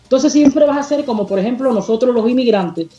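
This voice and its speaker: A woman's voice: on the high side, brisk, warm tone, muffled recording, faint music behind. A Cuban woman singer with a Cuban Spanish accent, answering interview questions with passion and emphasis, sometimes hunting for a word. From Cuba. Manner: passionate, emphatic, searches for words. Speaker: singer guest.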